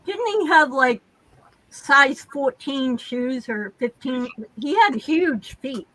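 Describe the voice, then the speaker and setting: Outspoken American woman, high sharp voice, emphatic in casual group chat. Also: animated, slow, no room sound, low-quality recording. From Massachusetts, United States.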